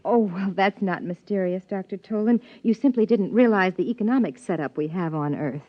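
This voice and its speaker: A woman playing an anxious, thoughtful biologist and wife, narrating an old radio drama in an introspective, measured way. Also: mid-range voice, no room sound, natural voice. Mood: matter-of-fact. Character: anxious, thoughtful female biologist narrating her troubled marriage. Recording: muffled vintage radio with music behind.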